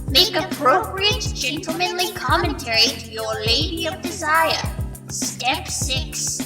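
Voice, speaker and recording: A performer voicing a gleefully insane, pompous captain in a theatrical, drawn-out comic dub style. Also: sits high. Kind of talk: comic dub character acting. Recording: long reverb, loud music behind. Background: music.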